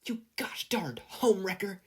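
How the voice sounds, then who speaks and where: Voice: medium pitch, lively intonation, normal speed, breathy edge, voice pushed up. A performer voicing a furious, jealous teenage girl inventor, shrieking, in an audiobook style. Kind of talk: audiobook-style character acting.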